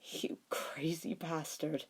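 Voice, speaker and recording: A woman voicing a brash, gruff young man with strong feelings in a fan fiction reading. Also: low-pitched, fairly even intonation, slow, whispered, heavily acted. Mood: choked, overwhelmed. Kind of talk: acted fan fiction podfic dialogue.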